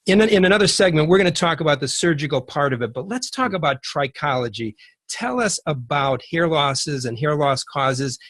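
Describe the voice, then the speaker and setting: A man's voice: fairly high pitch, moderate pace, a bit bright, no room sound. Dry, close-sounding male interviewer. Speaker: show host, interviewer. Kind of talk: scripted introduction and interview questions.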